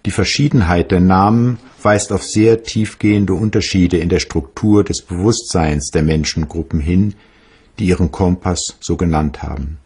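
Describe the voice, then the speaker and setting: An actor playing a reflective German physicist reading his memoirs in a husky, measured, philosophical way. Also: deep voice, darkish tone. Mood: pondering.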